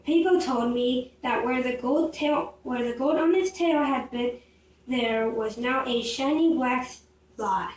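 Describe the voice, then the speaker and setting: A female storyteller with a very high, childlike-pitched voice narrates a fable in a halting read-aloud way. The recording is roomy and distant, with faint noise behind the voice. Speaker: storyteller in a puppet show.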